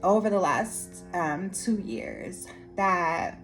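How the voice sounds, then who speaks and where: A woman who trains art teachers, losing her voice and sounding hoarse, talking slowly with many restarts. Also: on the low side, big pitch swings, faint music behind, poor audio. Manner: slow, halting, many restarts and fillers. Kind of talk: reflective casual monologue to viewers. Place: Anchorage area after workshops.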